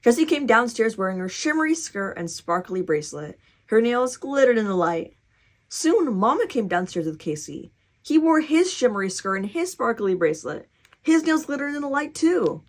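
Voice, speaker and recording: A woman reads a picture book aloud for children. Her delivery is very animated and dramatic, with pitch that swings widely and enthusiastic asides to the listener. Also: normal speed. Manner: animated, dramatic, cheering reader. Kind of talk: expressive children's storybook reading with excited asides.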